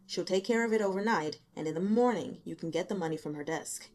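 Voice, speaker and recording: A woman voicing a tired, wry male office boss in an audiobook, brisk, casual and bluntly teasing. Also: on the low side, a little mellow, close to an everyday voice. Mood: offhand, businesslike.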